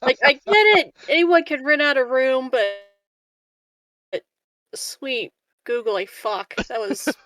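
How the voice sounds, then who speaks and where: A woman with a fairly high voice banters casually as a player in a tabletop game. She is giggly and exasperated, with plenty of swearing, and the sound is dry and close. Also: slow pace, fairly bright tone, no room sound, rough recording. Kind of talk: casual in-character improvised banter.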